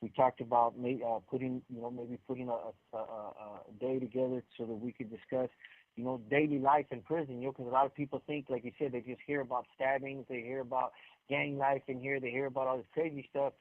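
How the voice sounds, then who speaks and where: A tinny, narrow telephone line carries a man's medium-pitched voice, with no room echo. He is a caller talking casually and in earnest. He repeats and restarts phrases as he makes his point. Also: level tone, brisk. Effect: phone line. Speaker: incarcerated guest caller. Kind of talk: casual, earnest explanatory talk. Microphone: telephone handset.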